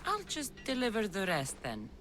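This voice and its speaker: Game lead: confident, wry hired sword, expressive intonation, dry delivery, light background noise. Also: female voice, mid-range voice, moderate pace. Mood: businesslike. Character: tough, wry female mercenary in ancient Greece. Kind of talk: video game cutscene acting.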